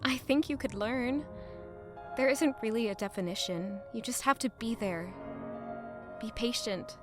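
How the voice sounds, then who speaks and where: A woman plays a young sweetheart in an audio drama. Her fairly high voice moves slowly and softly. She is tender, curious and reassuring, with music clearly behind her. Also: natural voice. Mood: encouraging.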